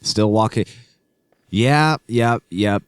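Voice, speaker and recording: A man's voice: fairly low pitch, takes it slowly, smooth, no echo, studio quality. A man co-hosting a let's play, tossing in wry, sweary reactions in casual joking chat. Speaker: co-host of a video game let's play.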